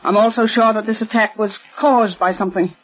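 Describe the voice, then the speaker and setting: A woman's voice: mid-range voice, fairly even intonation, a little fast, natural voice. Woman playing a stiff proper rich lady of forty, insistent, old dull radio sound. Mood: tense. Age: 40s. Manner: formal and insistent. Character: tense, proper wealthy eldest daughter. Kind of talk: 1940s radio acting.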